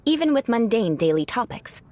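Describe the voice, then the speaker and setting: A woman's voice: fairly high pitch, sing-song, moderate pace, very noisy. An actress playing a haughty young noblewoman in a video game, with stilted, formal aristocratic speech. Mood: lofty, composed. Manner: formal, aristocratic, lofty diction. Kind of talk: scripted video game character acting. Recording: game music behind the voice. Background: game music.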